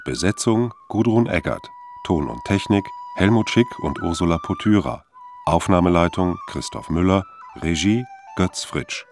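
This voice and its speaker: A man's voice: low-pitched, animated, unhurried. A man reading scripted radio play credits as an announcer. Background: music.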